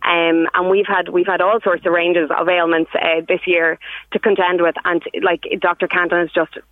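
A woman's voice: lowish voice, level tone, moderate pace, no room sound. An effusive, earnest woman calling in to a radio show on a tinny phone line. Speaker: caller, patient. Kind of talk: casual radio phone-in testimonial.

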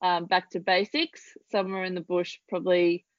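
A woman's voice: on the low side, level tone, moderate pace, no echo. Laughing Australian woman, dry lo-fi sound. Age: 30s. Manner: chatty, laughs easily, many ums. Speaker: podcast guest. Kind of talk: casual interview chat.

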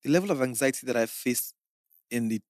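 A woman's voice: rumbling low, animated, a little fast, a little mellow, dry recording, studio quality. A woman hosting a podcast, asking questions and murmuring agreement as her guest talks. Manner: encouraging, frequent murmured agreement. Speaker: podcast host. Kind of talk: casual interview.

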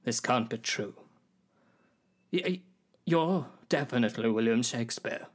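Man acting flustered stage actor, mid-pitched, polite, stammering, earnest, comedy sketch. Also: expressive, measured pace. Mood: flustered, disbelieving.

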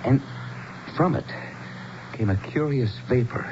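An actor playing a grave, courteous American small-town mayor in a radio drama, speaking in a measured, dramatic storytelling way. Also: male voice, mid-range voice, lightly acted. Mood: grave, haunted. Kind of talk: 1970s radio drama acting. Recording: dull, noisy old radio broadcast.

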